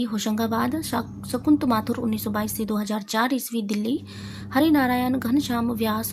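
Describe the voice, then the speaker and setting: A woman teacher reads out exam revision questions, answers and lists, reciting deliberately item by item. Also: fairly high pitch. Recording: clearly noisy amateur recording.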